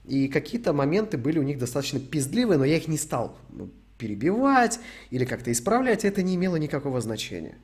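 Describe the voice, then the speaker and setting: Sweary young streamer from Ukraine. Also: male voice, on the high side, sing-song, quick pace, breathy edge. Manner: rambling, sweary and joking. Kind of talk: casual stream Q&A.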